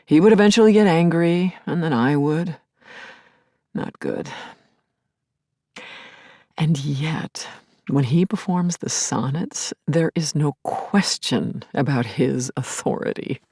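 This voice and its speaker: An actress playing a grieving, lovelorn middle-aged therapist in an intimate audio play monologue, confiding and wry, lingering on words and dropping to murmurs. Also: female voice, deep voice, slow pace, long pauses, mellow, no room sound, studio quality, exaggerated voice. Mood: yearning, reverent. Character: grieving, lovelorn woman therapist, self-aware and yearning. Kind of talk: intimate first-person dramatic monologue.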